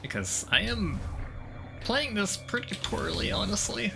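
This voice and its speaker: A man's voice: sits high, sing-song, a little slow, vocal fry, over music. A man giving casual, sweary, self-deprecating commentary while playing a video game.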